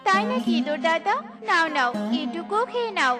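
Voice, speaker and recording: A woman voicing a kind, hardworking but easily exasperated female cartoon bird, expressive and plaintive. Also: very high voice, a little fast, music in the background. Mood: friendly. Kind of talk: children's cartoon voice acting.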